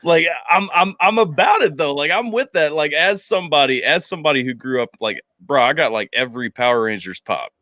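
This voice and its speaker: A man hosting a casual music interview, talking in an animated way with lots of "like" and "you know". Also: on the high side, a little fast, hardly any pauses, dry recording. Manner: animated, filler-heavy, lots of "like". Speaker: podcast host.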